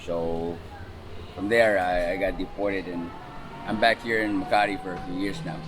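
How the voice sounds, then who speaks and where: A man's voice: sits low, steady tone, moderate pace, over music. A middle-aged man from the Philippines, talking loosely with lots of fillers as he is interviewed outdoors in a city. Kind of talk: casual street interview. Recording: outdoor street recording with traffic. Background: traffic noise.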